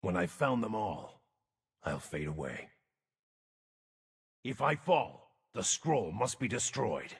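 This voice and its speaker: An actor playing a gruff, brooding wandering mage in a video game, world-weary and terse, with a raspy, gravelly voice. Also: male voice, fairly low pitch, sing-song, takes it slowly, long pauses, in a large hall, exaggerated voice. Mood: weary, grim. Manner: terse, grim, theatrical delivery. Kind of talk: video game character voice lines.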